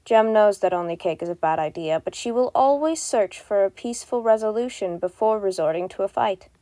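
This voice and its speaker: Mellow, soft-toned woman narrating a story. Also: medium pitch, brisk, no room sound. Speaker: podfic narrator.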